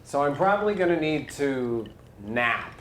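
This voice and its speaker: A man's voice: a little high, animated, unhurried, fairly bright tone. A man chatting casually as a player in a tabletop role-playing game. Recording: roomy, echoing, noisy background.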